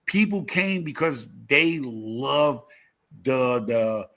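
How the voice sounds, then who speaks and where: A man's voice: mid-range voice, expressive, drawn-out words, bright, forceful tone. A talkative man in his fifties chatting casually as a livestream host, emphatic and repeating phrases as he tells motivational stories. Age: 50s. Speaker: YouTube gardening creator and live host.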